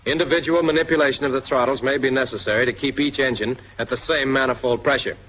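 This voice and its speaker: A man's voice: medium pitch, steady tone, fast, lo-fi sound, slight background noise. An American man narrating a 1940s military instructional film, addressing the trainee directly and instructively on an old film soundtrack.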